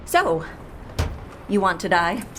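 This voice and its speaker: A woman playing a brisk, talkative, blunt pilot and death-faking agent in an audio drama, with a wry, animated manner. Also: fairly low pitch, slight background noise. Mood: businesslike, puzzled. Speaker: actor in an audio fiction series.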